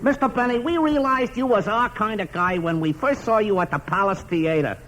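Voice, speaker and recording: An actor playing a slow-witted adult fan club president who speaks haltingly with "uh" and bad grammar, in 1950s radio comedy. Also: male voice, high-pitched, fairly bright tone, a little hiss or hum, rough recording. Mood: dopey. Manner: halting, ungrammatical, drawls with "uh".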